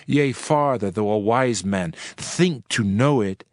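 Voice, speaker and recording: A man playing a solemn, world-weary preacher in a dramatized scripture reading, with measured, archaic oratory. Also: on the low side, darkish tone, no echo, clean studio sound. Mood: solemn, reflective. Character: male preacher, solemn world-weary sage pondering wisdom and vanity. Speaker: narrator of a dramatized audio Bible. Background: music.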